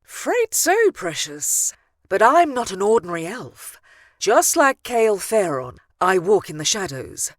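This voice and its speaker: A woman's voice: medium pitch, very animated, a little slow, dry recording, clean studio sound. A woman playing a seductive dusk elf vampire in an audio drama, doting and protective, with a sultry, cooing manner. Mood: teasing, sultry. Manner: cooing, sultry, purring endearments. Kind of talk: audio drama character acting.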